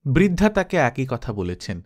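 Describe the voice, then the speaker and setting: A man's voice: medium pitch, big pitch swings, moderate pace. A man reading literary fiction as a scripted audiobook narration.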